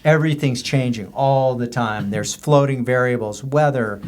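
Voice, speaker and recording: A man's voice: medium pitch, normal speed. An American man, a guest author on a podcast, tells casual, rambling stories and explains them with many examples. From US border region. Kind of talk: casual anecdotal storytelling.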